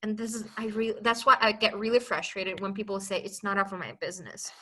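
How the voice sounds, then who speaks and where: A young woman from China talks as a podcast guest, earnest and open. Her voice is bright and forceful, and she often breaks off and restarts a thought. It is casual interview talk, not a rehearsed speech. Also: mid-range voice, fairly even intonation, a little fast.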